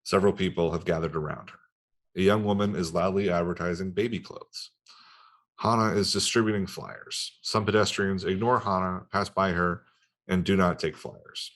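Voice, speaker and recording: A man's voice: sits low, steady tone, normal speed, a bit bright. A man reading stage directions as the narrator of a screenplay table read.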